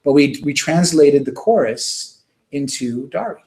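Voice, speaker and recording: A man speaking as an interview guest, recounting experiences in an earnest, halting, informal conversational way. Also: fairly high pitch, lively intonation, a little mellow. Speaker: interview guest, children's music charity worker.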